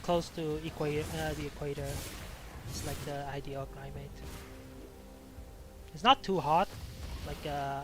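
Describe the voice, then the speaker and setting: Higher-pitched man, game streamer. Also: steady tone. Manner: profane, rambling, halting delivery. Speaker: live streamer. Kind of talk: casual gameplay commentary and chat. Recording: game music behind the voice. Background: video game music.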